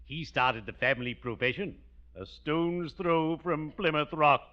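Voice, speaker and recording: An actor playing a gloomily jovial, genteel undertaker with a formal, drawn-out delivery in 1940s radio comedy style. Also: male voice, fairly high pitch, sharp tone. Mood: macabre cheer. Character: genteel, gloomily jovial small-town undertaker, a family friend. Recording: dull, noisy vintage 1940s radio recording.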